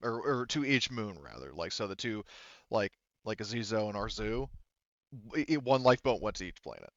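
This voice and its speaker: A man speaking off the cuff as game master of a tabletop game, haltingly and thinking aloud. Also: on the low side, animated, fairly bright tone, no room sound, highs cut off. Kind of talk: unscripted tabletop game narration.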